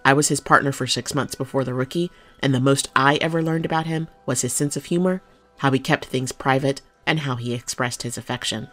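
A woman's voice: extremely low pitch, fairly quick, fairly bright tone, faint music behind. An actress playing a loyal, candid policewoman who speaks deferentially but frankly to her captain in an audio drama. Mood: matter-of-fact, candid.

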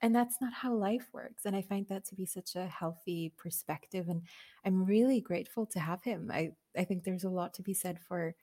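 A woman's voice: fairly low pitch, moderate pace, dark tone. A woman guest on a podcast, talking in a casual, earnest way, laughing often and using many fillers. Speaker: podcast guest, business coach. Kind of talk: casual conversational interview.

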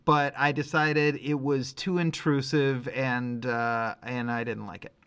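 Mid-pitched man, casual podcast talk. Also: little pitch movement, a little slow, a bit bright, clear, resonant tone. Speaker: podcast co-host. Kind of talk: casual film discussion.

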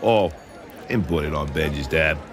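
An actor playing a brash young man from Boston with a Boston accent, telling a wild story excitedly. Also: a bass voice, moderate pace, over music.

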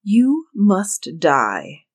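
A woman voicing a stern, murderous, commanding husband in a fairy tale, speaking deliberately and emphatically. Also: medium pitch, wildly swooping pitch, full character voice. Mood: merciless. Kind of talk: dramatized fairy-tale storybook reading.

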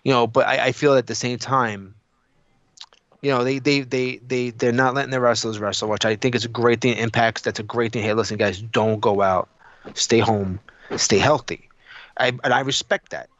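A man's voice: mid-range voice, fairly even intonation, normal speed, fairly bright tone, no echo, dull audio. A man co-hosting a podcast, chatting casually about his opinions in a blunt, emphatic, profane way. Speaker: podcast co-host.

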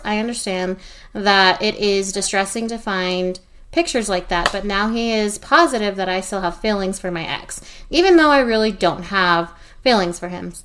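A young woman co-hosting a casual podcast in a middle pitch. She backs up her co-host with quick agreement. When reading posts aloud she trips over a word now and then and keeps going. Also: fairly bright tone, a little hiss or hum. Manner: supportive, agreeing, reads aloud with small stumbles.

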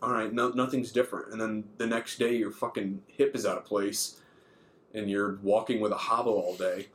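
A man's voice: lowish voice, steady tone, normal speed, a bit bright. A thirty-year-old man chatting casually as a podcast co-host, informal and freely swearing. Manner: informal, profane. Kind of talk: casual chat.

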